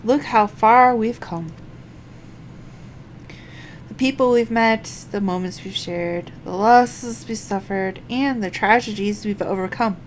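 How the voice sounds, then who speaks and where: A woman live-streaming a game, reading screen text aloud and thinking out loud in a halting way. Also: medium pitch. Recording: faint background noise.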